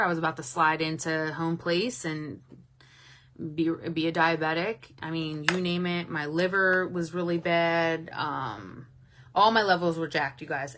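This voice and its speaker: Bright-voiced chatty woman in her thirties. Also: deep voice. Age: 30s. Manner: candid and chatty, swears freely, warm to commenters. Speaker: livestream host. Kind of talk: casual livestream chat reading viewer comments.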